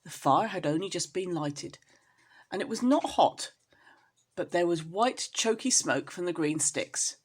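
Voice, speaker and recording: A woman storyteller reading expressively to young children, in an engaging, animated manner. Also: lowish voice, measured pace, slightly rough. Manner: animated, addresses young listeners with questions.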